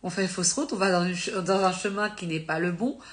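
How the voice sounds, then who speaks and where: A woman's voice: fairly low pitch, brisk. Slightly congested woman giving a chatty sermon. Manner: conversational, with many fillers and self-corrections; earnest and encouraging. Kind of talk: informal live-stream teaching.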